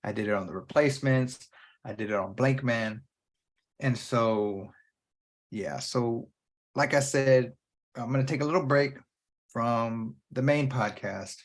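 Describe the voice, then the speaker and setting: A man's voice: mid-range voice, measured pace, pauses often. A man hosting his own movie podcast alone, talking off the cuff in a loose, rambling way and swearing freely. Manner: casual, unscripted, profane, jumps between thoughts. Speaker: podcast host. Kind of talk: rambling solo podcast talk.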